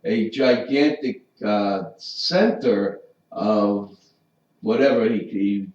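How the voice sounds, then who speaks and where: A man's voice: lowish voice, slow pace, darkish tone. A man co-hosting a casual radio talk show, telling a rambling story with a joking manner. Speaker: radio co-host. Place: Las Vegas radio studio. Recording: distant roomy lo-fi mic.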